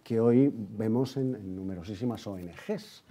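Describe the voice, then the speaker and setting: A man's voice: on the low side, normal speed, mellow, slight background noise. A man with an accent from Spain hosts an opinionated history discussion, speaking in an emphatic, sardonic manner and repeating phrases. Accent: Spanish from Spain. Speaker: host and interviewer.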